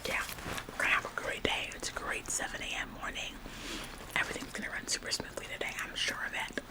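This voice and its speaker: A woman's voice: fast, close to an everyday voice. A woman playing an overeager, bossy, relentlessly upbeat female office worker in a whispered ASMR roleplay, with a passive-aggressive, falsely modest manner. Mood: chipper. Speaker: ASMR performer.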